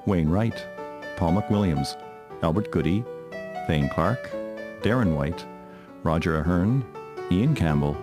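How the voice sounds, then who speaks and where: A man reading scripted credits as an announcer. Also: deep voice.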